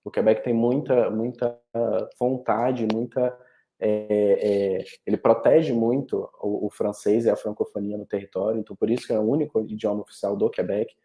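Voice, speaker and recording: A man's voice: fairly low pitch, steady tone, normal speed, mellow. Carioca man presenting with a roomy echo. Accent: Carioca Brazilian Portuguese. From Rio de Janeiro, Brazil. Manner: informative, with frequent restarts and fillers. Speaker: international education representative. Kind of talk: online presentation. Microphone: video call.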